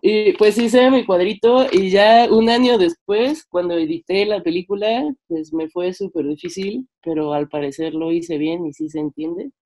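A woman's voice: mid-range voice, measured pace, few pauses, dark tone. A young woman with a Mexican accent speaks informally and hesitantly on a video call, often checking with a tag question. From Mexico, French-Mexican. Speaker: seminar participant, filmmaker. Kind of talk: informal sharing in an online discussion. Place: bedroom, indoors on a video call. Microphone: computer microphone on video call.

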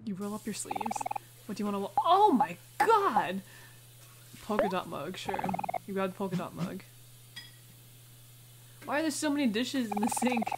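A woman's voice: medium pitch, big pitch swings, moderate pace, pauses often, very noisy. A woman doing casual let's play commentary, chattily thinking aloud and reading game text out as she goes. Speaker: gaming video host.

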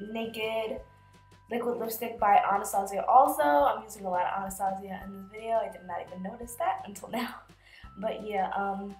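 Chatty young woman with a head cold. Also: mid-range voice. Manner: chatty, filler-heavy, explains each step. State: sick with a cold. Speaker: beauty vlogger. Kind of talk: casual makeup tutorial narration. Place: small bedroom. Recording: roomy bedroom echo, faint music behind.

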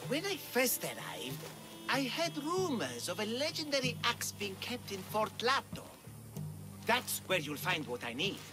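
A man voicing a hapless, cowardly villager who poses as a monster slayer, theatrical, bumbling and excitable, in a video game. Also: high voice, unhurried, over music, heavily acted. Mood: eager. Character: hapless, melodramatic, cowardly male villager posing as Minotaur slayer. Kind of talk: video game character acting.

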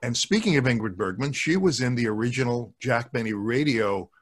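A man in his sixties talking as a podcast guest in a casual, thoughtful, halting way, close to a desk microphone at home. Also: mid-range voice, expressive, slightly rough. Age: 60s. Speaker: podcast guest, radio show producer. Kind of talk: casual discussion of old radio and film. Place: home room, video call. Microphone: large desk microphone, close.

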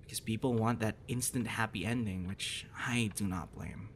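A man playing a gentle, encouraging young voice actor in a soft, hesitant, reassuring ASMR boyfriend roleplay. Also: lowish voice, fairly even intonation, normal speed, natural voice. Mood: earnest, reassuring. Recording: noticeable background noise.

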